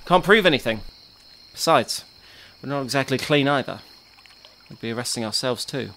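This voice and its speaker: A man's voice: medium pitch, expressive, moderate pace, a bit bright, a little hiss or hum. A man playing a dry, sardonic detective. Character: Duggan, dry wry male detective. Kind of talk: audio drama acting.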